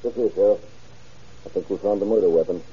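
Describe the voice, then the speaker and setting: A man's voice: on the low side, fast talker, warm tone, slightly rough. A man playing a keen investigator in an old-time radio crime drama. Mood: inquisitive. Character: keen, hunch-following male investigator assisting sheriff. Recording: dull muffled old radio recording.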